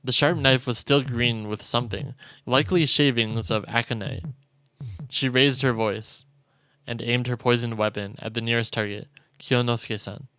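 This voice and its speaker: A man's voice: mid-range voice, fairly even intonation, moderate pace, bright, forceful tone, dry recording, rough recording. A man streaming a game, expressively reading visual novel narration aloud. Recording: dry close mic, faint game music.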